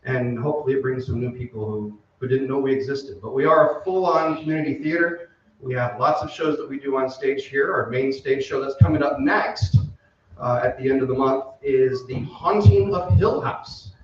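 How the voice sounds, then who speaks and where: A man's voice: mid-range voice, normal speed. A middle-aged man giving a casual, off-the-cuff welcome speech as a community theater director. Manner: informal, off the cuff. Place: theater stage before an audience. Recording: very echoey hall, distant mic, muffled.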